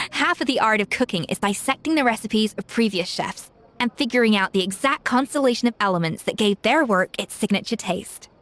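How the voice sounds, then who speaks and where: An actress playing a poised female chef in a video game, speaking in a calm, measured, articulate way. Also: on the high side, faint music behind, natural voice.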